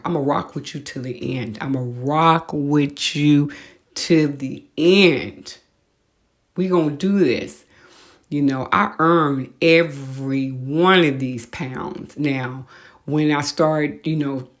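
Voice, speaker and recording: An American woman with a fairly low voice delivers a casual podcast monologue. She is animated and opinionated, using blunt, slangy phrasing as she speaks straight to her listeners. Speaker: podcast host.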